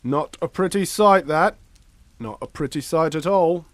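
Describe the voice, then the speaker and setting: Man acting as village policeman, plain-spoken, skeptical, fairly high voice, dry sound, radio drama. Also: measured pace, no echo, natural voice. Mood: dryly probing.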